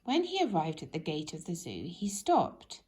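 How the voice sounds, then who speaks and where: Lively woman reading a children's storybook. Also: on the low side, moderate pace. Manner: animated, addresses young listeners with questions. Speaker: storybook reader. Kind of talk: expressive children's story narration.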